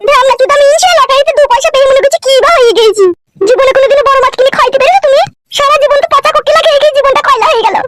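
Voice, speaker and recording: A woman voicing a sharp-tongued, sassy, nagging village wife in a comic cartoon. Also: extremely high pitch, rapid-fire, very noisy. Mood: nagging, mocking. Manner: bickering, taunting, sassy.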